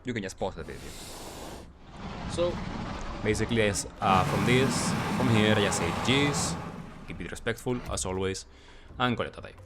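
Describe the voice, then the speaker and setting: A man's voice: on the low side, animated, normal speed, pauses often, heavy background noise. A man doing gameplay commentary as a video creator, explaining in short clipped phrases and often asking himself questions before answering them.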